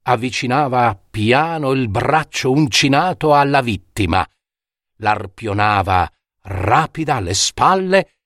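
A man tells a dark fairy tale in a slow, deliberate voice of middling pitch. He is theatrical and measured, leaving suspenseful pauses between short phrases. The sound is dry, with no room around the voice. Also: no room sound. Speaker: storyteller and author reading his own fairy tale. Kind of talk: dramatic scripted fairy-tale narration.

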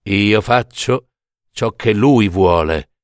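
A man voicing Death as a solemn, cold, stern gardener of souls in a storybook reading, grave and measured. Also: lowish voice, dry recording, studio quality, voice pushed down. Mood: solemn, implacable.

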